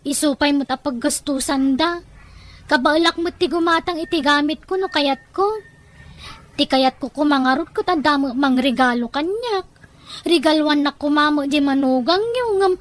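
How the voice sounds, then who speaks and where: A woman's voice: high-pitched, normal speed, lightly acted. A woman with an Ilocano Filipino accent acting an envious, teasing younger woman in a homespun audio drama. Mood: whiny, sulky.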